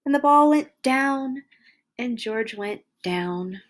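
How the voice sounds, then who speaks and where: A woman hosting a read-along, reading a children's picture book aloud with animated, exaggerated emphasis for young listeners. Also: a little high, takes it slowly.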